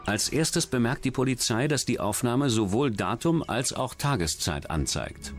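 A man's voice: mid-range voice, lively intonation, moderate pace, over music. A man reading scripted true-crime narration as a documentary narrator.

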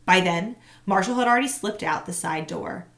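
A woman in her thirties, a teacher, reading a book aloud with an expressive, animated delivery into a webcam at close range in a small room at home. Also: fairly low pitch, normal speed. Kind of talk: read-aloud storytelling.

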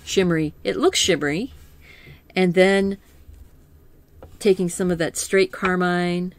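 A calm, soothing woman in middle age leads a painting lesson at an unhurried, easy pace. Her voice sits a little low and sounds close and dry, with no room around it. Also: no room sound. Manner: slow, gentle, thinking aloud with small laughs. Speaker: art teacher.

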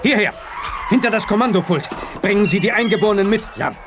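An actor playing an authoritative, cautious scientist in a children's sci-fi radio play, measured and explanatory. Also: male voice, sits high, expressive. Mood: urgent.

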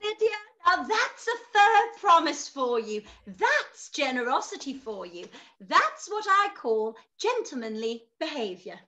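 A high, sharp-voiced, gushing mother, played by an actress in a period radio play. She is excitable and effusive, over a faintly noisy call. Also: unhurried, exaggerated voice. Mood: gushing approval. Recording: slightly noisy video-call audio.

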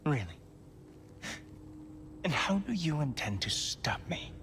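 An actor playing an arrogant god in a video game, mocking with drawn-out sarcasm. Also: male voice, a little high, sing-song, a little hiss or hum, full character voice. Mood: cold contempt. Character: arrogant, sneering male god, watchman of the gods. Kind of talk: video game character acting. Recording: loud game music behind.

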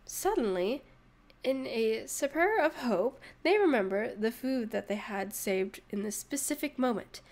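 A woman's voice: a little high, lively intonation, unhurried. A woman playing a sarcastic narrator in a comedic audio roleplay, teasing and mock-sympathetic as she mocks a listener. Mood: smug teasing. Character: sarcastic, mocking narrator gleefully needling a hungover catgirl. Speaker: voice actress.

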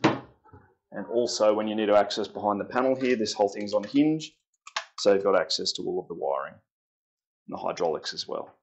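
A young Australian man giving a relaxed, chatty walkthrough of his timber boat, pointing out features as he moves through the cabins. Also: fairly low pitch, normal speed, long pauses. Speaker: boat owner giving a tour. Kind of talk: casual walkthrough tour. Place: inside a timber boat's cabins.

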